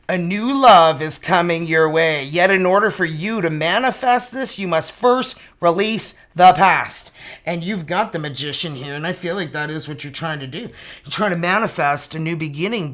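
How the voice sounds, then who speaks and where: A tarot reader in their fifties, talking in a loose, chatty way and breaking into exclamations. Their speaking pitch sits in the middle of the usual range. Card quotes are read out slowly and carefully. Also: fairly bright tone. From Canada. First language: French. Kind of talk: casual tarot reading to camera.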